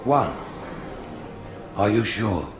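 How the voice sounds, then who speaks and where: An actor voicing a stately, calculating alien religious ruler in a video game, speaking like a cold orator. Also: male voice, fairly low pitch, very animated, drawn-out words, over music, heavily acted. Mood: stern, grave. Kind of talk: cinematic video game acting.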